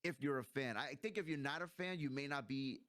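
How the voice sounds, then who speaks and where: A man's voice: mid-range voice, a little fast, a bit bright, dry recording, studio quality. A man, probably in his thirties, chatting casually and enthusiastically as he hosts a movie review, using plenty of slang.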